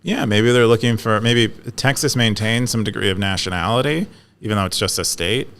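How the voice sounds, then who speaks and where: A man's voice: on the low side, fairly quick, a bit bright, clear, resonant tone. A man from California in a casual talk, explaining an idea with many fillers, restarts and hesitations. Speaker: podcast co-host. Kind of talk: casual conversational discussion.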